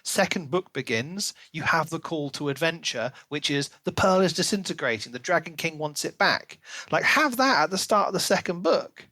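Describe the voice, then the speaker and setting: Man, fairly high voice, podcast co-host, emphatic and opinionated, casual discussion, dry close sound. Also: lively intonation, moderate pace, pushed and bright, no room sound. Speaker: podcast co-host reviewing books.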